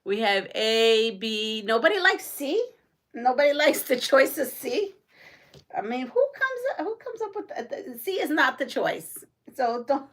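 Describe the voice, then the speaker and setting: A woman's voice: high voice, very animated, unhurried, fairly bright tone. A woman from Rico, hosting a live quilting stream. She chats with viewers, reads out comments and quiz questions, and laughs often. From Puerto Rico. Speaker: quilting channel host.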